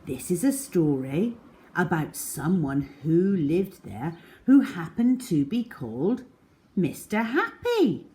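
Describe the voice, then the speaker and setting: Slow, animated woman reading a storybook. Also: mid-range voice. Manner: slow, deliberate, expressive storytelling with drawn-out words. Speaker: children's storyteller. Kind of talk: children's storybook read-aloud.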